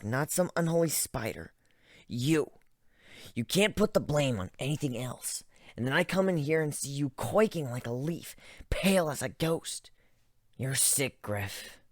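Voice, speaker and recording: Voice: mid-range voice, lively intonation, dry recording, studio quality, close to an everyday voice. A reader voicing a firm, earnest female griffin. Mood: sad, firm. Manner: earnest, firm, pleading, deliberate. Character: Gilda, blunt, concerned female griffin friend. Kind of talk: dramatic reading of fan fiction.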